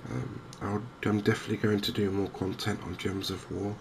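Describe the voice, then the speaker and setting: A man giving softly spoken ASMR commentary on a game, hushed, hesitant and unhurried, close to the microphone. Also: lowish voice, flat delivery. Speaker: gaming video host. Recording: clear background noise.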